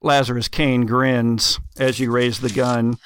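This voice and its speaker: A man narrating gothic horror as game master, with measured, dramatic, atmospheric storytelling. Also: medium pitch, level tone, fairly bright tone, clear, resonant tone, no room sound, clean studio sound.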